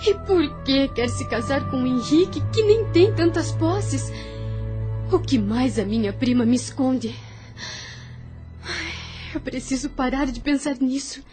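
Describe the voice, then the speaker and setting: A Brazilian woman playing a sheltered, gentle young bride-to-be in an audio drama, with earnest, formal period speech. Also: sits high, expressive, measured pace, faint music behind. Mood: troubled, resolute.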